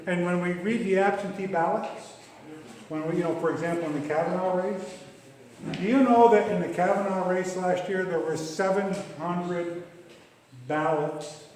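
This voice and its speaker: A man speaks emphatically and insistently in a slightly high voice, pressing the same points again and again. He is picked up from a distance in a reverberant hall, with faint background noise. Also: a little mellow. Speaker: campaign speaker. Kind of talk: political speech at a club meeting. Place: meeting room. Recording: echoey hall from far off.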